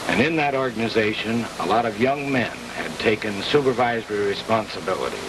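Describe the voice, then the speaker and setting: An American man in his forties answering a television interviewer as a company executive, in measured, deliberate phrases. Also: fairly low pitch. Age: 40s. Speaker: company president being interviewed. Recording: noisy old TV soundtrack, distant mic.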